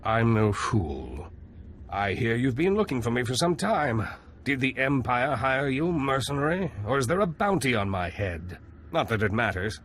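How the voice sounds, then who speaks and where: Smug menacing game villain, pitch all over the place, faint noise behind. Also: male voice, very animated, normal speed, fairly bright tone. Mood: smug, taunting. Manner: theatrical, taunting. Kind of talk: scripted video game acting.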